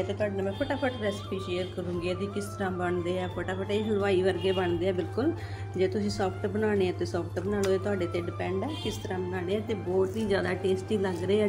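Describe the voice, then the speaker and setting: An older woman from Punjab with a Punjabi accent, giving a chatty, homely cooking demonstration in her home kitchen and repeating herself for emphasis. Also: mid-range voice. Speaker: cooking vlogger.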